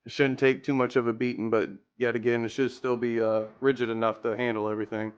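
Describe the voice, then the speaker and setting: A man's voice: medium pitch, level tone, fast, smooth, lo-fi sound. A man giving a casual hands-on tutorial, rambling a little as he thinks aloud while working. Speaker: DIY car audio vlogger.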